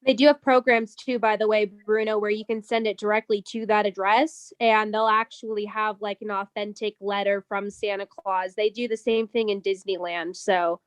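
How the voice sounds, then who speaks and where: A woman's voice: medium pitch, flat delivery, normal speed, few pauses, smooth, dry recording. A woman speaking in a city committee meeting, chatty and agreeable. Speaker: committee member. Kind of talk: meeting discussion.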